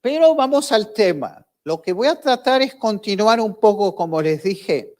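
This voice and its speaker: High-voiced older Argentine man lecturing. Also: a little slow. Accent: Argentine Spanish. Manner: relaxed, conversational, with hesitant fillers. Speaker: professor giving a closing lecture. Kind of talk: academic lecture.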